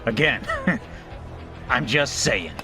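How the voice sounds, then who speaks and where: An actor playing a bumbling biker poser in an audio drama, stammering and defensive. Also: male voice, medium pitch, lively intonation, takes it slowly. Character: bumbling male biker poser, flustered, backpedaling in bars. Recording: music behind the voice.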